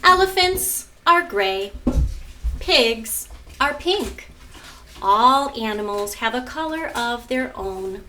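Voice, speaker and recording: A woman's voice: on the high side, lively intonation, slow, sharp tone, distant mic, a little hiss or hum. A woman who teaches art and is a mother, presenting a lesson and reading a picture book aloud in an instructive way, often prompting children with questions. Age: adult. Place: at home.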